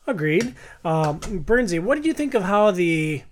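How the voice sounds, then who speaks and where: A man's voice: sits high, very animated, a little slow. A man hosting a casual movie podcast, opinionated and given to teasing banter as he puts questions to the panel. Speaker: podcast host.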